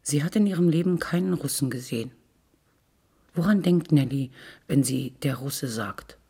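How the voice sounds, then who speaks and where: Very raspy, creaky woman narrator. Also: low voice, moderate pace, mellow. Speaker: narrator reading literary prose. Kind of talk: scripted literary narration with rhetorical questions.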